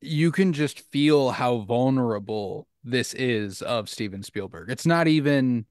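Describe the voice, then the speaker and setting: A man's voice: mid-range voice, expressive, measured pace, clear, resonant tone, no room sound. A man co-hosting a podcast, talking enthusiastically about a film he loves and swearing casually. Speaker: podcast co-host.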